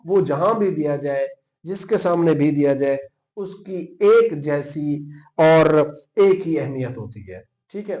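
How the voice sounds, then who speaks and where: A man's voice: a little high, darkish tone. A man with a Pakistani accent lecturing as a teacher, repeating key points emphatically and mixing in English terms. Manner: repetitive, emphatic, checks understanding and spells out key terms. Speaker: law lecturer for police exam candidates. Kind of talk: teaching a lesson, Urdu mixed with English legal terms.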